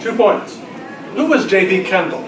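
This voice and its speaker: A man's voice: high-pitched, expressive, moderate pace, background noise. A man acting as quiz master at a club gathering, reading out questions with joking, teasing banter. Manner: jokey, teasing. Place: club luncheon in a roomy indoor space. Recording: echoey room from far off, chattering crowd. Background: chatter of club members.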